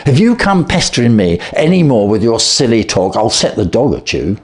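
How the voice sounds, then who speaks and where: A man voicing a surly, cocksure Victorian London poultry salesman in a storybook reading, gruff and blustering. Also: medium pitch, big pitch swings, normal speed, natural voice. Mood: angry. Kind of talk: storybook character voice.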